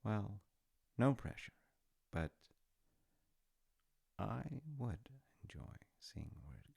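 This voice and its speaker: Man acting a low, gravelly, encouraging dad. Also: darkish tone, heavily acted. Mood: gently encouraging. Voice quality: gravelly, creaky. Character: warm, encouraging, gently teasing dad. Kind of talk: intimate audio roleplay, slice-of-life acting.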